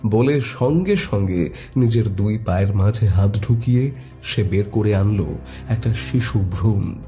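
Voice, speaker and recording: Slow, dark-voiced male horror narrator. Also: lowish voice, soft background music. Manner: suspenseful, deliberate. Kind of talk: dramatic scripted horror story narration.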